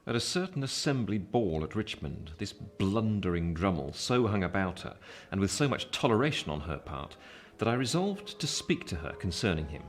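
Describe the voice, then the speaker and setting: Radio drama reflective male narrator: deep voice, measured literary storytelling, formal phrasing. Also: very animated. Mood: dry disdain. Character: reflective older Pip narrating his life story. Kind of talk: literary first-person narration in radio play.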